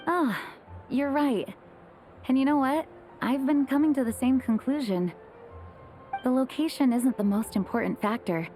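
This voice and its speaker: A woman voicing an earnest, courteous young leader in a video game, gentle and thoughtful in manner. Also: high-pitched, normal speed, pauses often, faint music behind, natural voice. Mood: grateful, reflective. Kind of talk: video game character acting.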